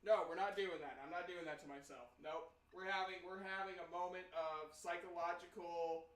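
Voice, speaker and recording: A man's voice: high voice, fairly even intonation, normal speed, fairly bright tone, a little hiss or hum. A man speaking casually as game master of a tabletop game, with a playful, self-deprecating way of bantering.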